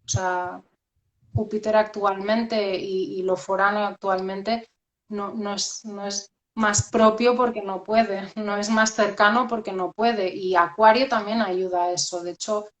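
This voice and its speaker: A woman talks through her ideas slowly and thoughtfully, stopping often to restart her sentences. Her voice stays within a narrow, even pitch range. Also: slight background noise. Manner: hesitant, many fillers and restarts. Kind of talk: reflective conversational talk.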